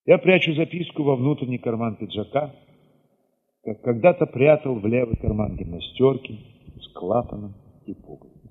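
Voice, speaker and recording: A man narrating an audio drama in the first person, expressive and solemn, with weighty pauses. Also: mid-range voice, breathy edge, big-room sound, narrow, tinny audio.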